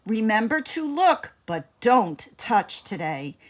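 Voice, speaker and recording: A woman's voice: fairly high pitch, sing-song, slow pace, full character voice. A woman voicing a kindly, organized female elementary school teacher in a storybook, giving polite instructions. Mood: instructing.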